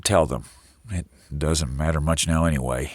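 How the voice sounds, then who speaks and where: A man's voice: rumbling low, moderate pace, no room sound, clean studio sound. An actor playing a grave man in charge in an audio drama, terse and urgent as he reports bad news. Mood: resigned, commanding.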